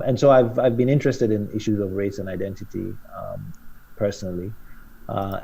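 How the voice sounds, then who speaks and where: A man speaking on a video call panel, measured and thoughtful, with frequent pauses and fillers. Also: low voice, dark tone, slight background noise, rough recording. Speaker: panelist, scientist. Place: home room with bookshelves.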